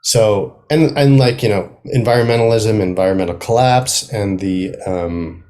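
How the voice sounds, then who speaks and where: A man talking casually as a playwright being interviewed, thinking aloud in a halting way. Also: on the low side, warm tone. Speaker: playwright guest.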